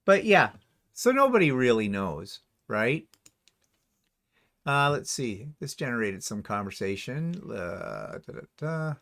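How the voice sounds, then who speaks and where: A man chats loosely through a live show, reading out viewers' comments and tossing in wry little asides. His voice sits at an ordinary middle pitch, and his talk is easygoing. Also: sing-song, takes it slowly, a bit bright. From Canada. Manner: reads comments aloud, chuckling asides. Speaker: livestream co-host.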